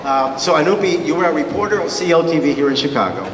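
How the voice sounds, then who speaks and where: A man's voice: a little high, fast. A man with a non-native English accent hosting an onstage interview, introducing the guest and asking short, friendly questions. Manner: friendly, brief prompts and thanks. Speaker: event host, interviewer. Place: large expo hall. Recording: echoey hall, mic far off, crowd noise.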